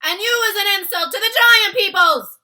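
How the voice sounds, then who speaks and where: A woman's voice: extremely high pitch, moderate pace, bright, forceful tone, voice pushed up, exaggerated voice. A woman voicing a brutish, gluttonous, ill-tempered male giant in a children's storybook, roaring out mangled grammar. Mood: scornful, insulting. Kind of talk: acted children's storybook read-aloud.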